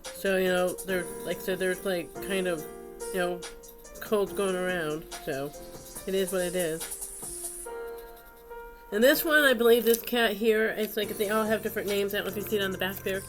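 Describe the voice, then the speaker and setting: Woman w stuffy nose doing a casual craft vlog, rambly, mid pitch, music playing behind her. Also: moderate pace. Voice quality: stuffy, congested. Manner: rambling, unscripted narration while working. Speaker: craft video host.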